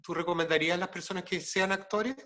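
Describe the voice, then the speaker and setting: A man's voice: high voice, steady tone, fast talker, sharp tone. A man with a Chilean accent asking short questions as interviewer in a casual conversation.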